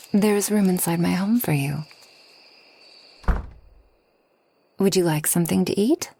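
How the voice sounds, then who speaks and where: A woman playing a kind, long-lived forest witch in a soft ASMR roleplay, gentle and unhurried, often near a whisper. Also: fairly low pitch, slightly rough, dry recording. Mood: welcoming, gentle. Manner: gentle, unhurried, soothing, slightly formal speech.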